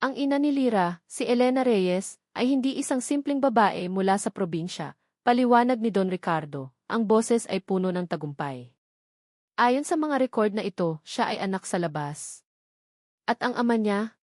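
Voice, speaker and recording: A woman's voice: medium pitch, animated, normal speed, darkish tone, no echo, studio quality. A woman with a Filipino accent reading a scripted dramatic story as its narrator.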